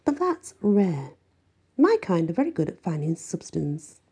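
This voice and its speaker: A woman reading an audiobook, voicing a smug, unbalanced ancient male mummy with slow theatrical delivery. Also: fairly low pitch, sing-song, mellow, husky, full character voice. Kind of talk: audiobook character voice.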